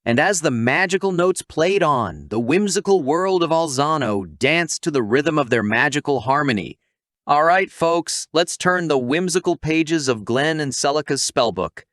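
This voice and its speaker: A man's voice: a little high, fairly bright tone, dry recording, studio quality. A man narrating a scripted story recap in a playful, theatrical way, addressing the audience like a storyteller.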